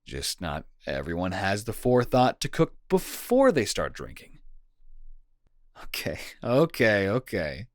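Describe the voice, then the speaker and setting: Man acting as a caring, animated, teasing boyfriend. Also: on the low side, measured pace, no echo, studio quality, exaggerated voice. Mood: teasing, fond. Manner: casual, playful, gently bantering. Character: caring, teasing young boyfriend nursing a sick partner. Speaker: audio roleplay performer. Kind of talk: intimate boyfriend roleplay comfort audio.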